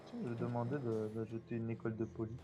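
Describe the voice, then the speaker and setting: A man's voice: medium pitch, level tone, fast. A man casually role-playing a police instructor online, giving colloquial directions over game voice chat. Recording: dull lo-fi game voice chat, noisy.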